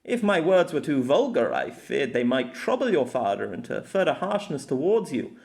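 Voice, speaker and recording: A nonchalant knight from a fantasy audiobook, played by a man, speaking in a raised pitch. He is formal and courteous, offering polite apologies to his lady. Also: big pitch swings, normal speed, gravelly, darkish tone. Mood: apologetic.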